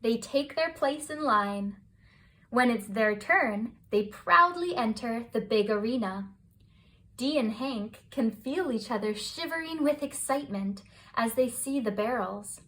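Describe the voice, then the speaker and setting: Slow, high-voiced woman reading a children's story. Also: animated, a bit bright. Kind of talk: scripted bedtime story narration for children.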